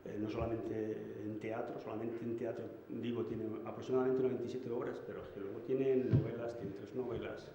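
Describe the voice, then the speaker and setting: A man from Madrid giving a public lecture, measured and hesitant, with fillers. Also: medium pitch, level tone, mellow, a little hiss or hum. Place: municipal hall. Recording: echoey hall, lo-fi.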